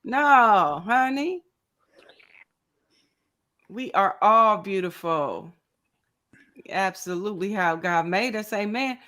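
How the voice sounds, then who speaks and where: A woman's voice: mid-range voice, lively intonation, slow, pauses often, bright, forceful tone. A woman in her fifties hosting a casual livestream, chatty and greeting viewers by name with frequent laughs. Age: 50s. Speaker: crochet channel host.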